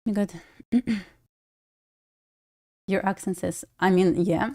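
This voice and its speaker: A woman's voice: medium pitch, steady tone, slow pace, a little mellow. A woman from Belarus with a Russian accent, casually commenting on a live trading stream, thinking aloud and answering chat. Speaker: day-trading livestream host.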